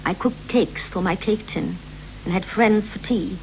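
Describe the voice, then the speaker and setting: Dutiful wife and mother female actor, mid-range voice, measured and clipped, poetic 1960s radio drama with slight background noise. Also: medium pitch, darkish tone, natural voice. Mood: wistful. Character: dutiful, busy housewife and doting mother. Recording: noisy, humming 1960s radio recording.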